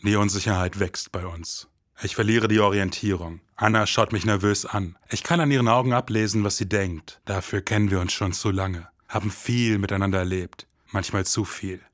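A man's voice: on the low side, fairly even intonation, normal speed, fairly bright tone, dry recording, studio quality. A man hosting and narrating a podcast in a dramatic, brooding, hard-boiled detective manner. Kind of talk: scripted noir detective narration.

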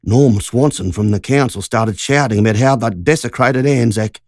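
A woman's voice: a bass voice, normal speed, darkish tone, dry recording, clean studio sound. A narrator voicing Margie, a shaken country woman who tells a frightening story in an urgent, breathless rush. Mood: indignant.

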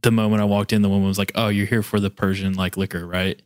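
A man's voice: lowish voice, flat delivery, fast, clear, resonant tone, no echo, clean studio sound. An American man from rural Kentucky, speaking as the host of an interview podcast. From rural Kentucky, USA. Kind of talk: podcast interview with scripted introduction.

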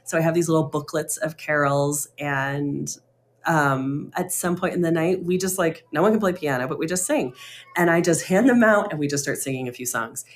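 A woman co-hosting a casual talk show over an internet call, animated and laughing often, with lots of "like" and "you know". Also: deep voice, normal speed.

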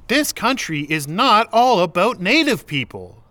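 Proud defensive man, audio drama, earnest, argumentative, condescending, very animated intonation, measured pace. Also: sits high, no echo, full character voice. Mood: defensive, conciliatory. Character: proud, defensive patriotic Canadian man, well-meaning but condescending. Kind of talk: naturalistic audio drama dialogue.

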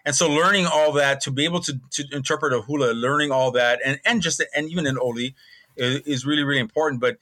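A man's voice: mid-range voice, fast, sharp tone. A man in his sixties from Hawaii, telling stories reflectively and explaining earnestly as a guest in a conversational interview. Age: 60s. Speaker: podcast guest, botanical curator and hula dancer.

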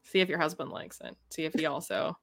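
A woman's voice: deep voice, sing-song, quick pace, creaky. A woman co-hosting a casual book discussion podcast, talking in a wry, playfully opinionated way.

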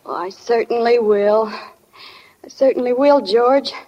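A muffled 1940 radio recording carries an actress as a teenage girl in a sentimental drama. Her voice is high, and she speaks with hesitant, tender sincerity. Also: unhurried, mellow, lightly acted. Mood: tender, wistful. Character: earnest, sensitive small-town teenage girl in love. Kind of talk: 1940s radio drama acting. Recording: dull, lo-fi 1940 radio broadcast.

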